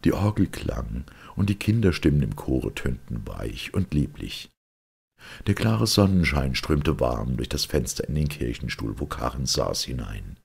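Very deep, gravelly male storyteller. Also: lively intonation. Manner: measured, solemn storytelling. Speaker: audiobook narrator. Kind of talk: expressive fairy-tale narration.

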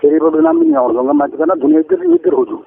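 Middle aged punjabi guy from india on a bad phone call, gravelly, rushing and rambling, keeps repeating himself. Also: very high voice. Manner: rambling, repetitive, insistent. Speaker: caller, father-in-law. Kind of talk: private phone conversation. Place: phone line. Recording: noisy, tinny recorded phone call.

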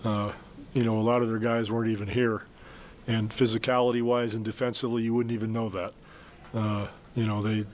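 A man's voice: mid-range voice, slight background noise. Older man coaching at a press conference. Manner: measured, plain-spoken, many fillers and restarts. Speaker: basketball head coach. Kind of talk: postgame press conference answers. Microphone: desk mic on stand.

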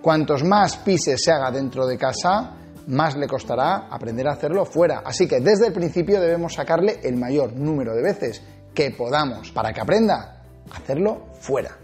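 Lively Spanish man giving dog-training tips. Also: moderate pace, soft background music. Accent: Spanish from Spain. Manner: animated, big hand gestures, emphatic swings in pitch. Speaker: dog trainer and channel host. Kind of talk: energetic tips video to camera. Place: small indoor studio set with shelves. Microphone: lapel.

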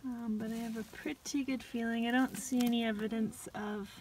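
A woman's voice: on the high side, steady tone, a little mellow, slight background noise, low-quality recording. A young woman in her twenties, chatting casually as she explains hive work in a backyard, recorded outdoors on a nearby camera with music underneath. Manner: unhurried, chatty, gasps with dismay at finds. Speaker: beekeeping vlogger. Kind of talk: casual how-to narration while working. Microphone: camera on a stand nearby, later handheld.

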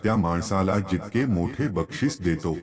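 A man's voice: low voice, expressive, moderate pace, crowd noise. A man voicing a scheming courtier in a story, formally accusing a rival before the king.

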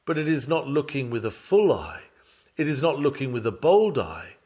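A man's voice: medium pitch, big pitch swings, moderate pace, darkish tone, slightly rough. A man reading a sermon aloud in an earnest, oratorical way, with emphatic, dramatic phrasing. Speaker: reader of a historical sermon.

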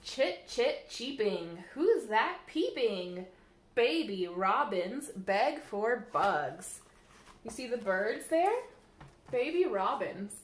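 A woman in her thirties reads a picture book to small children in a slightly high, very animated voice. Her delivery is sing-song and addressed straight to the kids. It is recorded in a small, echoey room on a camera mic a little way off. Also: slow pace. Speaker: library staff storyteller. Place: small room indoors by a window, seated. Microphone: camera mic a short distance away. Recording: roomy echo from camera mic.

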